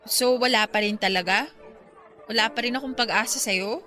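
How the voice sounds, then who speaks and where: Lovelorn young woman, played by an actress, hopeful yet hurt, higher bright voice, expressive radio-drama delivery. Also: normal speed, some chatter behind. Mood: hurt, pleading. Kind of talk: Tagalog radio romance drama acting.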